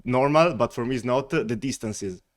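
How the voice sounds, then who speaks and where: An Italian man with an Italian accent talks in a relaxed, chatty way. His voice is smooth and clear, and he sits at a mid pitch for a man. He laughs often and fills his pauses with "uh" and "like". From Italy, Veneto. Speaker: wine company representative, guest. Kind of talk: casual interview chat.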